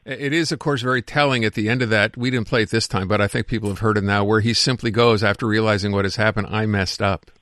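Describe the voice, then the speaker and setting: Male radio interviewer, medium pitch, rapid delivery, polite questions with restarts, close and dry. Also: fairly even intonation, a bit bright, no echo. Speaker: radio news host, interviewer.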